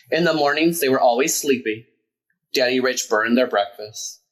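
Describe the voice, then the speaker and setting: A man reading a children's picture book aloud as a storyteller, expressive and emphatic. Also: mid-range voice, low-quality recording.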